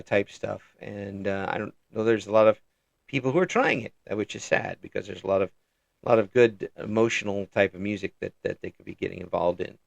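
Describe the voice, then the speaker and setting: A man hosting a casual interview, talking in a halting way with many fillers and restarts, chuckling now and then. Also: on the low side, vocal fry, dry recording, dull audio. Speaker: interview host.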